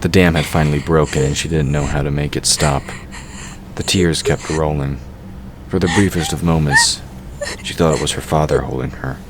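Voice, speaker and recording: Man, very deep pitch, scripted fantasy narration, audio drama, noisy recording. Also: normal speed.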